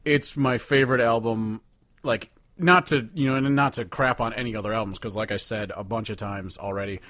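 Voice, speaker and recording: A man's voice: lowish voice, fairly quick, a bit bright. A man co-hosting a casual music podcast, talking in an emphatic, hyperbolic way with profanity. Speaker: podcast co-host.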